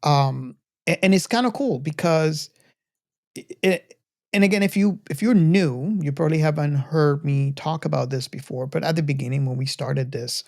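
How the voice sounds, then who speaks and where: A man addresses his podcast audience casually and earnestly. He speaks off the cuff in halting phrases, often doubling back on words. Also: a little high, gravelly. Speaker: podcast host. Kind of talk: casual, unscripted talk to the audience.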